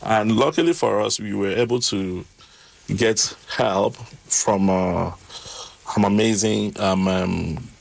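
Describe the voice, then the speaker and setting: A man who is not a native English speaker tells his story in an interview, pausing often with um and uh. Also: on the low side, vocal fry. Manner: halting, with frequent um and uh fillers. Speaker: interview guest.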